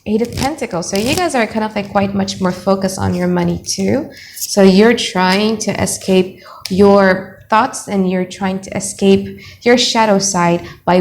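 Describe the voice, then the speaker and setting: A woman's voice: on the low side, darkish tone, slight background noise. A young woman from Indonesia with an Indonesian accent, giving a blunt, chatty tarot reading to camera from home. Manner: chatty, blunt, thinks aloud while laying out cards. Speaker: tarot reader. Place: home room, children heard nearby.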